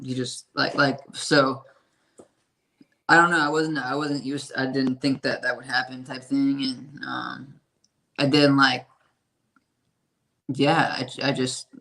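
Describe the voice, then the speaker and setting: A man with a raspy, hoarse voice, talking about his music in a casual interview and rambling with lots of fillers and swearing. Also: medium pitch, sharp tone, low-quality recording. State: hoarse from a strained voice. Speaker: independent musician.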